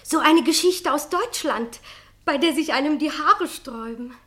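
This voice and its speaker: A woman's voice: sits high, moderate pace, fairly bright tone. An actress playing an eager society lady in a German radio play, coaxing and theatrical. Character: eager society lady begging for a scary story.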